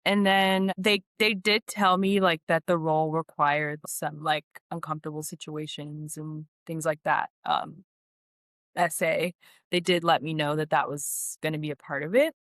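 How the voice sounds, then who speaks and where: A woman's voice: sits low, little pitch movement, normal speed, a bit bright, no room sound. A woman speaking as an actress guest in a casual podcast interview, often saying "like" and quoting her own reactions.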